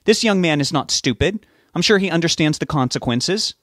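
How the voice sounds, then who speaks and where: A man's voice: a little high, lively intonation, normal speed, a bit bright, no echo, pitched above the performer's own voice. A bossy, feisty wife in an audiobook drama, as a man narrates her. She speaks in a snappish, scolding way with kindness underneath. The sound is close and dry.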